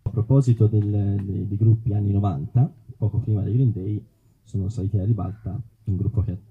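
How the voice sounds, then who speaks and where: A man interviewing a guest informally at a live event, halting as he builds his question. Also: lowish voice, steady tone, breathy edge. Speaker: presenter interviewing the author. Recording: dull live event sound.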